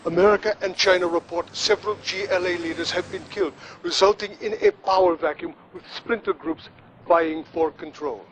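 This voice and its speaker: A man's voice: sits high, steady tone, moderate pace, a little hiss or hum, lightly acted. An actor playing a solemn, partisan male war correspondent delivering a scripted news report in a video game. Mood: grave, scornful.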